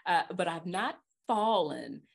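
Lady podcast host, lowish voice, punchy and emphatic, keeps hammering the point home. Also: takes it slowly, vocal fry, husky. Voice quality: trained projecting voice. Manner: animated, emphatic, repeats key points. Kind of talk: casual advice chat.

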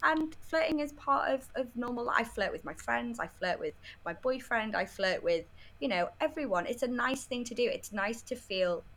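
A woman's voice: fairly high pitch, animated, moderate pace, a bit bright, low-quality recording. A woman in her late twenties from North Wales, giving candid, articulate and emphatic interview answers in a reflective, conversational way. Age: late 20s. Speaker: interview guest, article writer.

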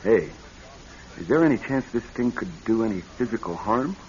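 A dull, band-limited old radio drama recording carries an actor as a nervous young executive. He is a lowish-voiced man who talks earnestly and haltingly, with lively swings of pitch. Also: close to an everyday voice. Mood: anxious, defensive. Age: young adult. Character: nervous young married executive troubled by nightmares.

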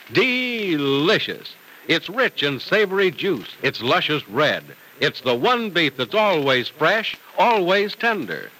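Male radio pitchman, highish forceful voice, very animated, emphatic, polished, on a noisy old broadcast. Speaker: radio announcer. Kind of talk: scripted old-time radio narration and advertising. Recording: noisy vintage radio recording.

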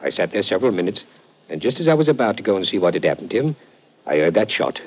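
A humble Cockney lodger with a deep, husky voice. He is plain-spoken and deferential, a working-class London witness out of an old radio crime play. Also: very animated, quick pace, darkish tone, exaggerated voice, low-quality recording. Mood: earnest, deferential. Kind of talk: 1950s radio drama acting.

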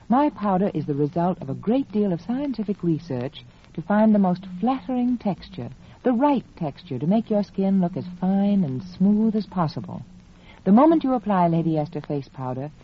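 A woman delivering a scripted, persuasive 1940s radio advertisement as a spokeswoman. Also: on the low side. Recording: muffled, faintly noisy vintage radio recording.

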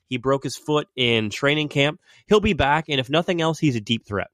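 A man's voice: mid-range voice, expressive, normal speed, a bit bright, no room sound, studio quality. A man hosting a sports podcast while he has a cold, chatting casually and making game predictions as an enthusiastic fan.

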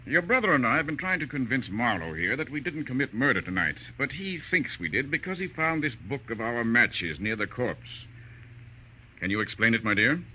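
Vintage radio heavy: haughty rich husband, very bright forceful voice, lively intonation, suave sarcasm, faintly noisy recording. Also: male voice, medium pitch, normal speed. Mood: dismissive. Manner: sardonic, formal, haughty. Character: cold, suave, imperious wealthy husband under suspicion. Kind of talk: 1940s radio drama acting.

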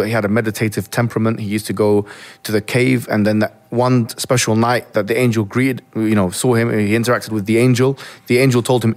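Young man from Egypt, interview guest, earnest and fast, keeps restarting. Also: fairly low pitch. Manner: earnest, reflective, with many restarts. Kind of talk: interview conversation.

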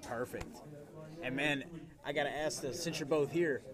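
A man's voice: on the high side, normal speed. A man hosting a casual convention interview, effusive and peppering his talk with man and dude. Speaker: podcast host. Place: live on site at a busy convention hall. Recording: crowded convention floor noise. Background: crowd chatter.

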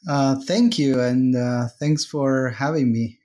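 Slow, hesitant man. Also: medium pitch, mellow. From Argentina. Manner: hesitant, many uhs and restarts. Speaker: guest author, sociology professor. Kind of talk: interview answers.

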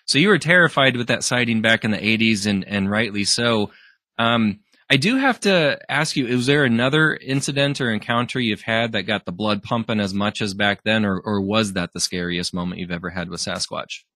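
A man's voice: fairly low pitch, moderate pace, sharp tone, no echo. A man hosting a podcast, talking casually and laughing easily, with many restarts. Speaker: podcast host. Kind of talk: casual conversation.

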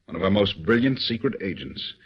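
An actor playing a calm, authoritative male government chief giving measured instructions in a 1940s radio drama. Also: lowish voice, slightly rough, heavily acted. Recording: dull old radio recording.

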